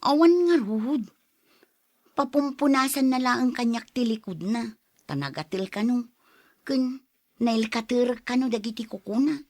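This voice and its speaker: A woman's voice: fairly high pitch, expressive, unhurried, long pauses, a little mellow. A woman playing an evasive youngest daughter and caretaker in a Filipino family drama, with a Filipino accent. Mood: defensive. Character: youngest daughter, evasive caretaker of her mother, given to wailing. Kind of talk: Filipino radio-style family drama acting.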